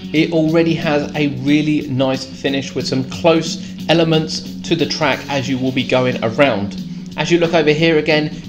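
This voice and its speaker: A British man giving a descriptive tour commentary as a theme park vlogger. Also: a little high. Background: guitar music.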